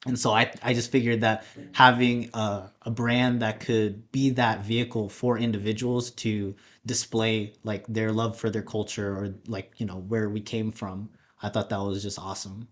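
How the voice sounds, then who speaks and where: A man's voice: on the low side, little pitch movement, normal speed, few pauses. A man in his twenties speaking as a podcast guest, explaining things in an informal, reflective way. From Filipino American. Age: 20s. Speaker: podcast guest, streetwear brand founder. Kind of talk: casual interview, telling his story.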